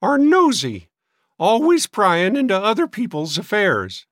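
A man voicing a sour, scornful old maiden sister in an audiobook, with a high, cracked voice. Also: wildly swooping pitch, unhurried, fairly bright tone, no room sound, clean studio sound, full character voice. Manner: sniffing, scornful, scolding. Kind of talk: audiobook character voice.

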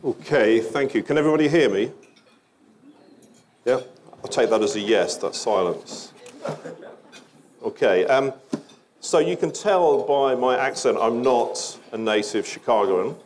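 A man's voice: medium pitch, expressive, a little slow, long pauses, darkish tone, a little hiss or hum. A middle-aged British man giving informal, slightly hesitant, self-deprecating opening remarks as a panel moderator.

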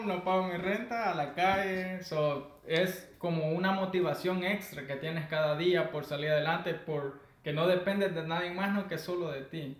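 A man with a Salvadoran accent talks in a slightly raised voice, earnest and reflective, laughing easily as he chats with a friend. The room around him rings with a noticeable echo. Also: high voice. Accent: Salvadoran Spanish. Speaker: podcast co-host. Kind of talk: casual chat.

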